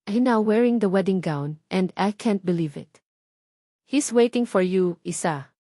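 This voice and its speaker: Woman acting anxious Filipino young mother and bride, heartfelt, earnest, middle pitch, dry audiobook sound. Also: expressive, unhurried, mellow, dry recording. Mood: wistful.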